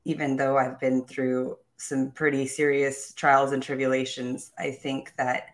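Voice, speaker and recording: A woman's voice: extremely low pitch, level tone, unhurried, low-quality recording. A young woman telling her own life story in an interview, upbeat and self-assured, with small laughs and restarts. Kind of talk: candid personal storytelling.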